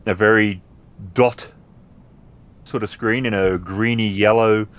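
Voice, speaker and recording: A man's voice: on the low side, steady tone, slow, smooth. A man describing the scene as game master of a tabletop role-playing game.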